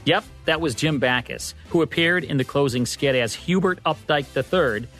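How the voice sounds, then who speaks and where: A man's voice: a little high, normal speed, a bit bright, soft background music. A man co-hosting a radio program, reading scripted history narration in a presenter's style. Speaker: radio co-host.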